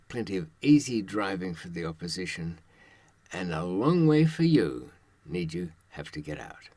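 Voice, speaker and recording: An older man with a low voice talks directly to his audience as an adviser. His delivery is conversational and wry, dotted with sardonic rhetorical asides. The sound is close and dry, with very little room on it. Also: darkish tone, no echo. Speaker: true-crime advice presenter and author.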